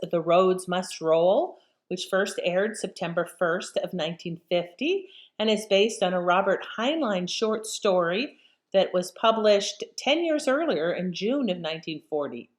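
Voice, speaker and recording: Female host, medium pitch, informal off-the-cuff commentary, wry and a little hesitant. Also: expressive, measured pace. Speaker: host commenting on old radio shows. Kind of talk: casual chat commentary.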